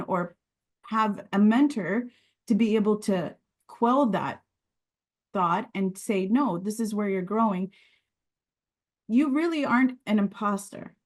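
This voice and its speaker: Woman, Sicilian native speaker, middle pitch, earnest, reflective, conversational interview guest. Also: a little mellow. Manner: earnest, reflective, with frequent restarts. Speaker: podcast guest, regulatory and quality director.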